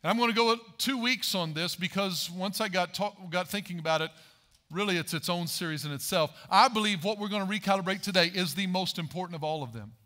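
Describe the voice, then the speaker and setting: A man in his forties delivers a sermon from a large church stage, his voice pitched high and bright. He preaches with rising emphasis and poses questions to the audience, heard through a headset mic. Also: fast talker. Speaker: pastor.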